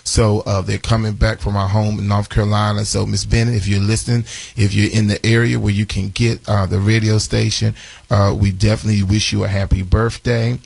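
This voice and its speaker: A man in his forties with a raspy voice, hosting a live morning radio show and chatting through announcements with lots of fillers and restarts. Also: on the low side, little pitch movement, brisk, a little mellow, no echo. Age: 40s. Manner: chatty, repeats phone numbers, many fillers and restarts.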